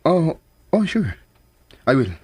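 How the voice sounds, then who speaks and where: Husky, evasive businessman. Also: fairly high pitch, very animated, slow, dark tone, dry recording, heavily acted. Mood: dismissive. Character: evasive, impatient businessman, secretly in love. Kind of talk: radio drama acting.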